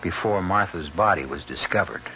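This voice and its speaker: A man hosting and narrating a radio mystery, ominous, dramatic and deliberate. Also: low-pitched, slight background noise. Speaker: host and narrator. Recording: muffled old radio broadcast, music behind.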